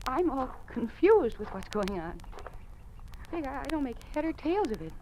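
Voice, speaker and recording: An actress playing an earnest, anxious young teacher in an old-time radio drama, hesitant and polite. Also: female voice, high voice, sing-song, moderate pace, music in the background. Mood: confused, alarmed. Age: young adult. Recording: noisy vintage radio drama recording.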